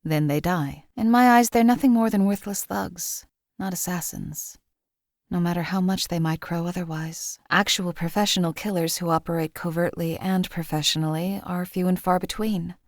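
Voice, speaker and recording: A woman's voice: fairly low pitch, steady tone, moderate pace, dry recording, clean studio sound, lightly acted. A woman voicing a cold, contemptuous hired assassin in a game, speaking in a formal, clipped, disdainful way. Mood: detached. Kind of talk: game character voice lines.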